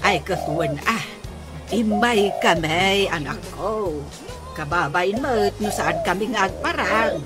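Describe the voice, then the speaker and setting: A woman's voice: medium pitch, animated, takes it slowly. A woman playing an old village mother in a radio comedy drama, plaintive and complaining. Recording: loud music under the voice.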